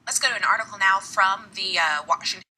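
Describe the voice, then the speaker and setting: Lady hosting a call-in show, talks fast, sharp voice with some husk. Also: medium pitch, breathy edge. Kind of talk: live broadcast moderating.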